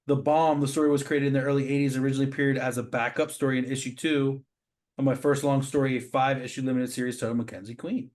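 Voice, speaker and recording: A man talking as a show host in casual, informal commentary. His pitch sits in the middle for a male voice. He speaks with open admiration and drops in slangy asides. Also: little pitch movement, fast talker, a little mellow. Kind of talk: casual chat, commentary on comic art.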